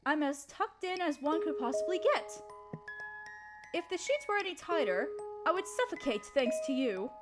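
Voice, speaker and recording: A woman playing a gentle, proper young noblewoman who speaks politely and coyly to her servant in an intimate roleplay audio drama. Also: high-pitched, sing-song, normal speed, loud music behind the voice. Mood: shy, teasing. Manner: polite, formal, period phrasing, coyly teasing.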